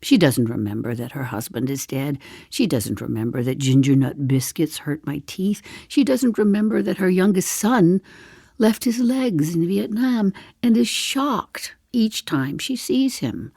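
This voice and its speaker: An actress in her seventies playing a darkly comic old woman in an audio drama monologue, confiding in a deliberate way with dry understatement. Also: fairly low pitch, lively intonation, creaky, dark tone, husky, no echo, full character voice. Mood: dry, rueful. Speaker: actor in a one-woman play.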